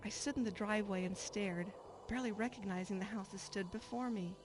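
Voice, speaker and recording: A woman's voice: mid-range voice, brisk, music in the background, natural voice. An actress playing a troubled middle-aged woman, earnest and reflective, in a narrated radio drama. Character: troubled, wistful woman returning home to her estranged mother. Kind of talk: radio drama acting with first-person narration. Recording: clear background noise.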